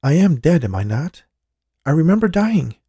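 A scared young woman in a read-aloud story, pleading and tearful, her voice pitched high above a man's own, with a gravelly edge, as a man puts her on. Her lines come dry and close, with a lively rise and fall. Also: moderate pace, no room sound, full character voice. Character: frightened young woman raised from a painting.

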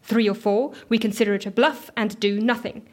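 A woman's voice: medium pitch, steady tone, a little fast, natural voice. A woman acting an anxious, sceptical office colleague in an audio drama, speaking in an earnest, challenging way. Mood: businesslike.

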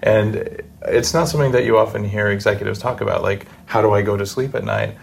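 A man's voice: fairly low pitch, brisk, creaky, a little hiss or hum. A middle-aged man hosting a podcast interview, talking conversationally and in a reflective, personal way. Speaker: podcast host interviewing a guest.

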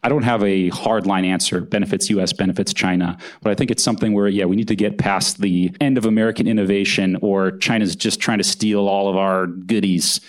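A man's voice: on the low side, fairly even intonation. A man, an author and journalist, answering questions thoughtfully at a live on-stage interview. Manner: thoughtful, measured, hedging with "kind of". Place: event hall with live audience.

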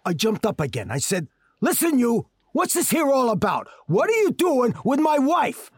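An actor in a 1940s radio drama playing a brash, working-class husband who narrates and hollers in outrage. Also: male voice, a little high, sing-song, a little slow, no echo, studio quality, heavily acted. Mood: agitated. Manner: hot-tempered, yells and hollers.

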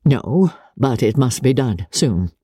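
A woman voicing a dry, rueful older gentleman, a weary father, blunt and wry in a dramatic reading of a classic novel. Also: a bass voice, expressive, slow pace, slightly rough, no room sound, full character voice. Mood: reluctant.

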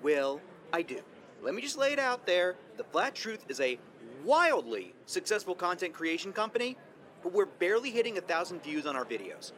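Indignant conspiracy youtuber rant, high voice, super animated, full of himself. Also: male voice, moderate pace. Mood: self-righteous. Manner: combative, folksy, self-important. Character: indignant male conspiracy video host, self-important. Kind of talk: online video rant, acted in audio fiction.

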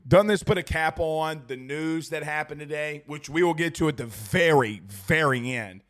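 A young man hosting a fan livestream after a big game, his voice hoarse and strained from yelling. He talks in an excitable, rambling way, repeating lines for emphasis. His voice sits a bit high for a man. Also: normal speed. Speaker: sports talk show host. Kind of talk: excited post-game livestream chat reading super chats.

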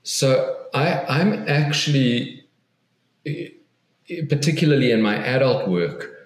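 A man's voice: medium pitch, animated, slow, roomy sound. A man, a guest author on an interview podcast, speaks thoughtfully and earnestly, often restarting and repeating words.

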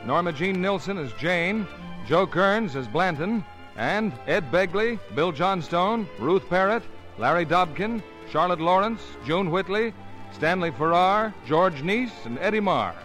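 A man's voice: high-pitched, animated, unhurried, a bit bright, low-quality recording. A man announcing in a polished, emphatic, scripted 1950s radio advertising style. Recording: 1950s radio broadcast, faint music.

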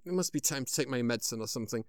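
An actor playing a sour, confused old man in an audio drama, with a halting, stammering delivery. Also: medium pitch, no echo, clean studio sound, natural voice.

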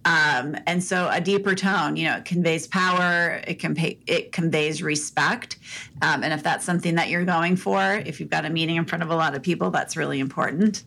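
Soft-voiced, direct woman podcast host. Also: deep voice, steady tone, brisk, creaky. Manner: direct, upbeat, fillers. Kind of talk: casual podcast chat giving advice.

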